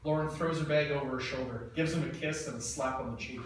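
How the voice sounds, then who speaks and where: A man's voice: mid-range voice, steady tone, fast. A man reading screenplay scene directions aloud at a table read, plain and matter-of-fact. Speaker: narrator. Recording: echoey room, mic far off, faint noise.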